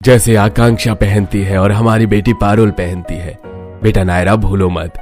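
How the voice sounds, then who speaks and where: A man's voice: on the low side, fairly even intonation, normal speed, darkish tone, close to an everyday voice. A man playing a kindly, protective older father-in-law in a cartoon family story. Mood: gentle, reassuring. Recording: music bed under the voice.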